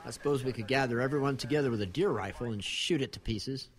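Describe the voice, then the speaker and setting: A man's voice: medium pitch, quick pace, close to an everyday voice. A man acting a practical, gung-ho local outdoorsman on a monster hunt in a horror audio drama, matter-of-fact in manner.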